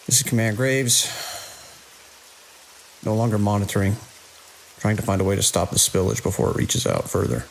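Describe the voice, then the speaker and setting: Guy doing a commanding security boss, creaky voice, clipped urgent orders, horror audio drama, bit of rainy hiss. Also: fairly low pitch, big pitch swings, normal speed, long pauses, low-quality recording. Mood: grim, shaken. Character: grim, commanding male security chief of research facility. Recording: constant hiss and rain-like noise.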